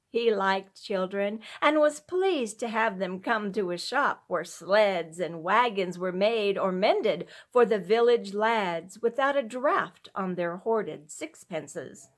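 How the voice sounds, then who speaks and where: A woman storyteller giving a measured, expressive scripted narration of a classic tale. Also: mid-range voice.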